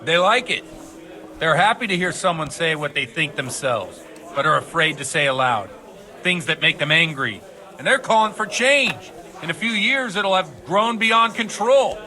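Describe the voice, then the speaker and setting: A man's voice: fairly high pitch, lively intonation, normal speed, sharp tone. A man acting a hard-drinking, rebellious village priest in a video game, preachy and fervent in his delivery. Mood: earnest.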